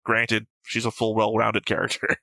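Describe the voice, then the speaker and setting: A man with a low, crisp-edged and forceful voice that breaks into gravelly fry. He talks as an opinionated co-host in a casual discussion, arguing his points. The sound is dry and close. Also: monotone, normal speed, dry recording. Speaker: co-host of a reaction show. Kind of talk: casual discussion and episode commentary.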